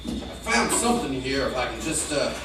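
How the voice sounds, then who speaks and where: A man playing a steady American frontier lawman in a radio western, plainspoken and hesitant. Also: mid-range voice, natural voice. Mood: intent, serious. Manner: hesitant, plainspoken, thoughtful pauses. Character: steady, easygoing male frontier lawman of the Old West. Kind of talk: old-time radio western acting. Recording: echoey, distant old radio recording, faint noise.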